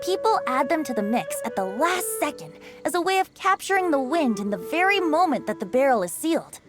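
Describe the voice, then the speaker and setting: A woman's voice: high voice, very animated, a little fast. A woman voicing a breezy, playful young bard in a video game, with flowery, lilting, storyteller-like speech. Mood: storytelling. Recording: music behind the voice.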